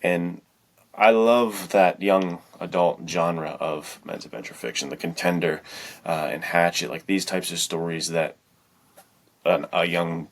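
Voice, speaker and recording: A man's voice: low voice, a little slow. A man co-hosting a podcast, speaking casually in an earnest, reflective way. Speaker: podcast co-host and fiction writer.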